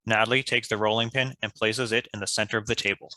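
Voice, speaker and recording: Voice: medium pitch, fairly even intonation, fast, pushed and bright, poor audio. A narrator reading stage directions from a script in a scripted narration style.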